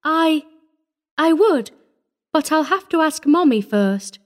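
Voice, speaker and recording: A woman's voice: high voice, lively intonation, slow pace, voice pushed up. A woman voicing a shy, grave little orphan girl in a story, hesitant and earnest. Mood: shy wonder.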